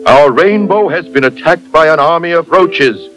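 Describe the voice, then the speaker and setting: A man's voice: a little high, expressive, moderate pace, fairly bright tone. Man playing a noble phoenix over prominent music. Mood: grave, pleading. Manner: solemn, formal appeal. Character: noble, earnest magic phoenix guardian. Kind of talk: storybook character acting.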